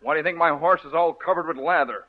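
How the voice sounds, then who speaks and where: A man playing a sly, brisk cavalry colonel. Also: fairly high pitch, close to an everyday voice. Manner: brisk, hushed, commanding. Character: sly scheming middle-aged male cavalry colonel. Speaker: comedy actor. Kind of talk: 1940s radio comedy acting. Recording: thin phone-like old radio recording.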